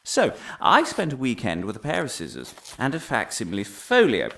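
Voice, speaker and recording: An actor playing a pompous crackpot professor, giving a comic mock lecture with theatrical pauses and sudden outbursts. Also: male voice, medium pitch, wildly swooping pitch, gravelly, bright, forceful tone, heavily acted. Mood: excitable, confiding.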